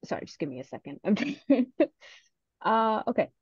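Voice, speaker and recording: A woman's voice: mid-range voice, big pitch swings, normal speed, darkish tone, slightly rough. A woman facilitating an online student panel, speaking informally and politely with a self-deprecating manner. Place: online video meeting. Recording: dull, muffled online call audio.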